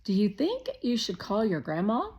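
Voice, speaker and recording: A woman's voice: mid-range voice, huge pitch swings, normal speed, dull audio, pitched above the performer's own voice. Woman voicing a restrained, put-upon young boy. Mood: annoyed, restrained. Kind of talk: children's storybook character voice.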